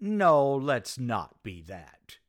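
An actor playing a sensible, wry best friend in an old-time radio sitcom, measured and dryly exasperated. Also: male voice, mid-range voice, very animated.

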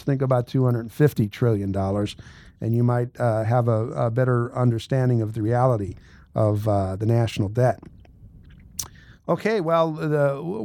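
A man's voice: medium pitch, a little mellow, no room sound. An American man in his sixties giving informal explanatory financial commentary, blunt and emphatic, repeating phrases for stress. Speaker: host, tax and accounting adviser.

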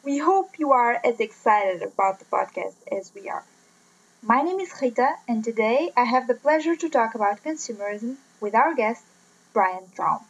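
A woman's voice: on the high side, lively intonation, normal speed, husky, rough recording. A woman hosting a podcast, giving a scripted introduction and interview questions. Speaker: podcast host.

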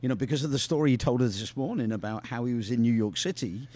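A man's voice: medium pitch, fast, dark tone. An older man hosting a podcast, talking in a rambling, teasing way and filling his speech with "you know". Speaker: podcast host. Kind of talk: casual chat.

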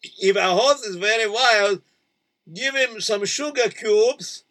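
A man's voice: high voice, takes it slowly, bright, forceful tone, full character voice. A man voicing a kindly uncle who gives folksy, reassuring advice. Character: kindly advising uncle, middle-aged.

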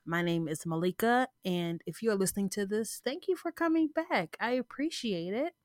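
A woman's voice: mid-range voice, lively intonation, normal speed, darkish tone, no echo, clean studio sound. A woman hosting her own podcast, talking casually and informally with a stuffy, congested voice, often restarting and checking in with "right?". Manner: rambling, informal, frequent "right?" asides and self-restarts. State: congested, sniffling. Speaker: podcast host. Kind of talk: casual solo podcast talk. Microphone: close podcast mic.

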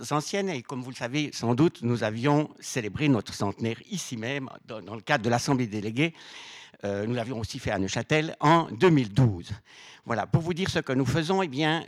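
A man's voice: medium pitch, no room sound. A man giving a semi-formal welcome speech as an association president, often restarting and correcting his words. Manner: halting, repeats and corrects words.

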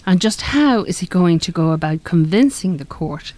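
A woman's voice: on the low side, expressive, normal speed, a little mellow, close to an everyday voice. An actress playing a forthright, defiant married woman in a radio drama. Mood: firm reassurance. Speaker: radio play actor. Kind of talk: live radio drama acting.

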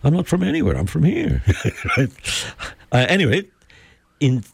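An older man from Mexico with a Mexican accent, speaking English as a second language, talking thoughtfully in an interview and often pausing to search for words. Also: fairly high pitch, wildly swooping pitch, vocal fry, slightly rough. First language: Spanish. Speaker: guest. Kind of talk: conversational interview.

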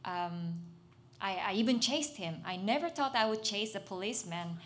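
Soft-spoken Japanese woman speaking English. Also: medium pitch, animated, slight background noise. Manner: halting, searching for words, soft and earnest. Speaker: speaker at a literary festival. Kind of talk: personal testimony on stage.

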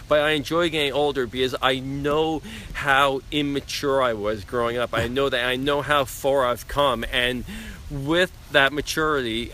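A man's voice: medium pitch, bright, forceful tone, a little hiss or hum. A man from Long Island with a speech impediment, speaking earnestly as a podcast guest outdoors, often repeating words. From Long Island, New York. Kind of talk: casual heartfelt conversation. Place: outdoors in the cold.

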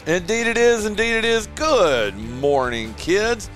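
A man hosting a casual morning radio show, rambling with fillers, his voice a bit rough and deeper from yelling the night before. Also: animated, a little slow, soft background music. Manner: rambling, chatty, lots of uh fillers. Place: small home radio studio. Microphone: studio mic with headphones.

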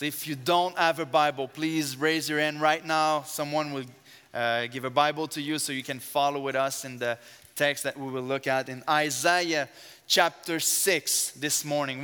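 French-accented preacher, smooth voice, church reverb. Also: male voice, mid-range voice, bright, forceful tone. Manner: earnest, fervent prayer with rising emphasis. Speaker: guest preacher. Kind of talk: sermon with opening prayer. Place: church sanctuary. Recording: long hall reverb of a church.

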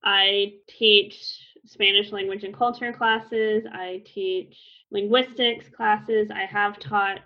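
Woman, 30s, Spanish teacher, easygoing chat with plenty of ums, mid-pitched, slightly dull lo-fi sound. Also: slow. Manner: relaxed, with frequent fillers and restarts. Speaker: Spanish instructor. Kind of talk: casual conversational explanation. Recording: slightly muffled lo-fi recording.

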